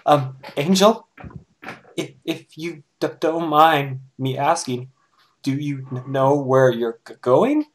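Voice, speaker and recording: A man voicing a timid, hesitant, gently exasperated cartoon pony mare in a fan fiction reading. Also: mid-range voice, takes it slowly, natural voice. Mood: hesitant, pleading.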